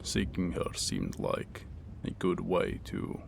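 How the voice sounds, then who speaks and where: An extremely low, crackling-voiced wounded mercenary played by a man, murmuring intimately as in ASMR roleplay. He is guarded, brooding and wry with a tender streak, speaking in halting, old-world phrases while rain and thunder sound behind him. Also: sing-song, heavily acted. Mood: guarded, secretive. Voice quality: deep, gravelly, breathy murmur. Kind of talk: ASMR roleplay acting, intimate second-person monologue. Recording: rain and thunder ambience noise.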